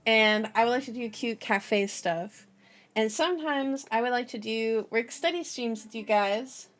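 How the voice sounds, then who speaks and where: Playful high-voiced young woman streamer. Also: unhurried. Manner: playful, silly, giggly, cutesy slang. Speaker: VTuber streamer, channel host. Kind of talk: casual livestream chat, slideshow introduction.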